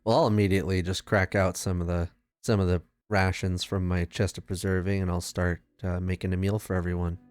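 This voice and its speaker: A man's voice: low voice, flat delivery, a little fast, darkish tone, smooth, no room sound, studio quality. A man playing a tabletop role-playing game, casually describing what his character does.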